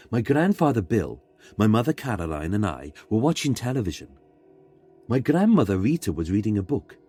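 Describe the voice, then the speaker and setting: A man reading a scripted ghost story in a measured, dramatic way, pausing for suspense. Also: fairly low pitch, dark tone. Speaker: guest narrator reading a submitted ghost story.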